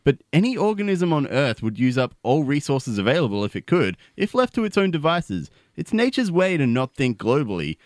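A man's voice: a little high, sing-song, a little fast, hardly any pauses, no echo, clean studio sound. A man playing a naive, musing friend of an alien in a comedy radio play, conversational and matter-of-fact.

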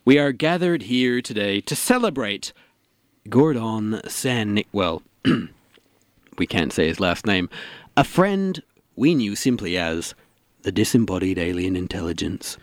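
A man's voice: lowish voice, expressive, a little slow, no echo, clean studio sound, voice pushed down. Solemn, awkward eulogist man acting. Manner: solemn, hesitant. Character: earnest, awkward man giving a funeral eulogy. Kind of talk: comedy radio drama.

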